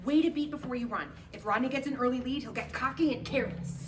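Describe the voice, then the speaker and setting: A woman's voice: high-pitched, quick pace, lightly acted. A woman playing an exasperated but loyal young football fan in a comedy table read. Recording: distant mic, noisy room.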